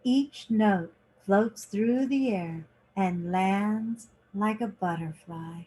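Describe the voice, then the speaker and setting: A woman reading a children's picture book aloud in short, deliberate phrases, like a teacher. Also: mid-range voice, mellow, a little hiss or hum.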